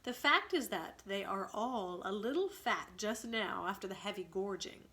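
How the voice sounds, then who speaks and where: Bright-voiced woman teacher reading aloud. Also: medium pitch, lively intonation, moderate pace. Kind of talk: storybook read-aloud with explanations.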